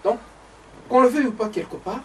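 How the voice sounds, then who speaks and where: A man from the DR Congo in a casual conversation who speaks in a measured, reflective way with hesitant pauses. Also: very high-pitched, over a noisy crowd. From Democratic Republic of the Congo. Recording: distant mic with faint ambience.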